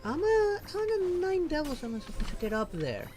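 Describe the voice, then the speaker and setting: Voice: high-pitched, wildly swooping pitch, fairly quick, darkish tone, soft background music. A streamer giving casual live gameplay commentary, rambling and thinking aloud.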